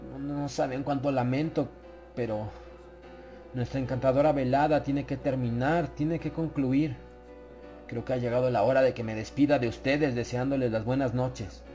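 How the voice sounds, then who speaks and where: A man voicing a nervous, courteous Englishman in a horror audiobook, halting and pleading, over music. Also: medium pitch, fairly even intonation, warm tone, pitched above the performer's own voice, close to an everyday voice. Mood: strained politeness. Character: nervous, polite Englishman trapped among sinister hosts.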